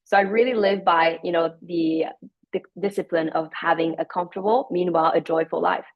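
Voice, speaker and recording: Young woman with a lowish, muffled voice. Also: fairly even intonation, fairly quick. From Hungary. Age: young adult. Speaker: student panelist. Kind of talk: panel talk and self-introduction. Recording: dull, muffled lo-fi audio.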